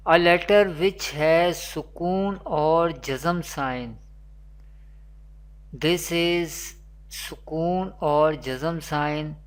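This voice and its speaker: A Quran teacher, giving an online lesson in a slow, repetitive teaching manner, with Arabic recitation. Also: medium pitch, clear, resonant tone.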